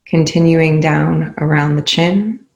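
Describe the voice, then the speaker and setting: A meditation guide speaks very slowly in an even, level tone with noticeable creak at the edges. The delivery is soothing and unhurried, leaving long pauses between short phrases. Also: medium pitch, dull audio.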